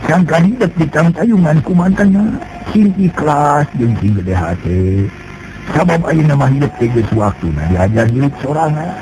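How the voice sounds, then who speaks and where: A man voicing a calm fatherly old sage. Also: fairly high pitch, normal speed, background noise, voice pushed up, natural voice. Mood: solemn fatherly. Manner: solemn, reassuring advice. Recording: music playing behind.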